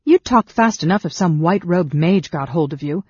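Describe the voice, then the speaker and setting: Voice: medium pitch, animated, measured pace, mellow, breathy edge, dry recording, dull audio, voice pushed up. A narrator voicing a bold, cool woman warrior who speaks in a commanding, scornful manner in a fantasy storybook. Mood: snarling, grim.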